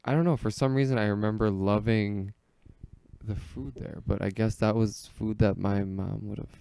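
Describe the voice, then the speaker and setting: Low, smooth-voiced American man chatting. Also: fairly even intonation, moderate pace, mellow, no room sound. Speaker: podcast speaker telling childhood stories. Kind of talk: casual comedic chat.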